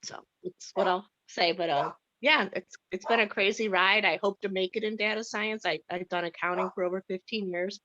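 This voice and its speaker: A woman's voice: mid-range voice, steady tone, normal speed, few pauses, pushed and bright, no room sound. A woman introducing herself hesitantly, with many fillers, during an online meeting. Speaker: meeting participant. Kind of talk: casual self-introduction. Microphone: video call. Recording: dull, lo-fi call audio.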